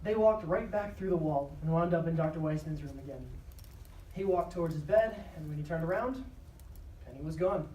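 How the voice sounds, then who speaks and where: A woman's voice: low-pitched, fairly quick, mellow. A woman narrating a scripted drama live. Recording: echoey live stage, distant, faint noise.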